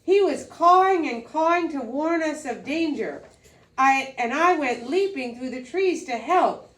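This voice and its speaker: A woman's voice: sits high, animated, measured pace, a bit bright, pitched above the performer's own voice. A woman voicing a nervous monkey in a folktale, pleading his excuse and blaming someone else. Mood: nervous, defensive. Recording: roomy echo, distant microphone.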